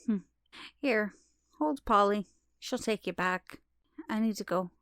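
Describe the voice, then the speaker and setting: A grave, mysterious mother, acted by a woman in a fantasy audio drama. She speaks slowly and portentously, in a breathy near whisper at middling pitch, with a dry, close sound. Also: a bit bright, no room sound. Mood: gentle, resigned. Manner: slow, portentous. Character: mysterious mother, grave and urgent mystic messenger.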